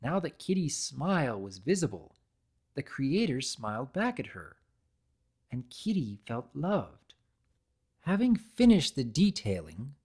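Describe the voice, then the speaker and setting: A narrator giving an expressive, deliberate dramatic reading of a story. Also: medium pitch.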